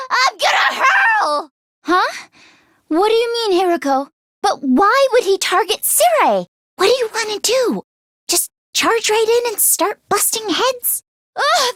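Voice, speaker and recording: Game casting: girlish teen mechanic, very high-pitched, anxious yet determined, expressive, dry studio sound. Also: no echo, heavily acted. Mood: panicked screaming, then alert. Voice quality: youthful, girlish. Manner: expressive, emphatic, exclamatory. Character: excitable teenage girl mechanic, anxious but determined. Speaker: video game voice actress. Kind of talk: animated video game character acting.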